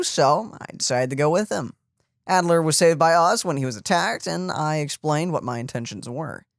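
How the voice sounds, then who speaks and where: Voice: medium pitch, dry recording, studio quality. A let's play streamer reading game text aloud and adding casual commentary. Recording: game music in background.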